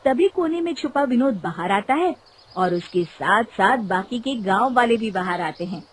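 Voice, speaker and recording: Lively woman narrating a story. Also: fairly high pitch, normal speed, heavy background noise.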